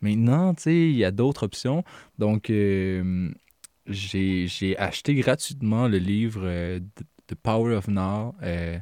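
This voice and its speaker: A man with a Québécois French accent, co-hosting a casual podcast chat, hesitant and halting as he tells a story. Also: lowish voice, lively intonation, mellow, smooth, no echo, clean studio sound. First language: French, also speaks English. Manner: hesitant, many fillers and restarts, laughs. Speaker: podcast co-host.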